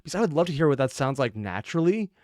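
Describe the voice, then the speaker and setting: A man's voice: a little high, sing-song, very fast, a little mellow, no echo, clean studio sound. A man giving casual, sweary, exclamatory reaction commentary as the host of a video.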